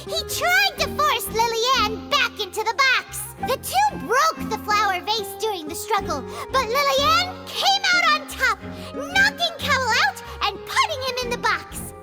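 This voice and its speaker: A tiny girlish fairy with an extremely high, squeaky voice. She is excitable and theatrical, swinging widely in pitch like a game sidekick playing detective over prominent music. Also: unhurried, hardly any pauses, full character voice. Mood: confident explaining. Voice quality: squeaky, childlike. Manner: bubbly, theatrical. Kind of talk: video game voice acting.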